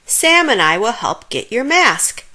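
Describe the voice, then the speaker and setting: A woman's voice: fairly high pitch, big pitch swings, a little slow, sharp tone, full character voice. A woman voicing a bold, bossy witch hen. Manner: confident, bold announcing.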